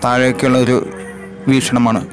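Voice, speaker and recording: Man, Malayali accent, mid-pitched voice, travel vlog narrator, measured short phrases, loud music over the voice. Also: little pitch movement. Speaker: vlogger.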